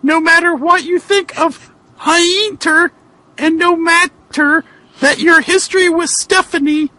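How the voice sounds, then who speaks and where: A piercingly high, sugary young woman, acted out by a man in falsetto, pleads with her beloved in a comic reading. The words come slowly, drawn out into coos and wails, with a bright, strident edge. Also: steady tone, dry recording, full character voice. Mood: pleading, gushing. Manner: drawn-out, cooing, wailing falsetto delivery. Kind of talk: comic dramatic reading of fan fiction.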